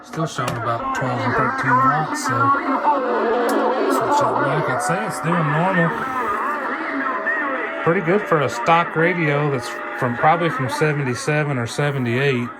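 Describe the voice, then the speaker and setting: A man's voice: fairly high pitch, animated, a little slow, over loud music, over a noisy crowd, poor audio. A man giving a casual hands-on equipment demonstration, folksy and colloquial, muttering to himself as he works. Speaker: video host reviewing a vintage CB radio.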